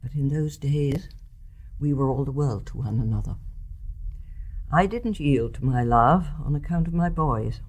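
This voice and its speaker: An older actress playing a wise, tolerant elderly mother in a radio play, speaking in a reflective, genteel, gently reasoning manner. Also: a bass voice, expressive, a little slow, full character voice. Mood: wistful reminiscence. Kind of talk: measured radio drama acting.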